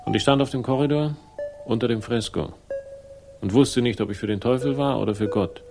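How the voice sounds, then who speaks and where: An actor playing a wry, world-weary private investigator who narrates a crime radio drama in a deadpan, sardonic way. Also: male voice, medium pitch, fairly quick, creaky, music in the background, lightly acted. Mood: dry, pensive.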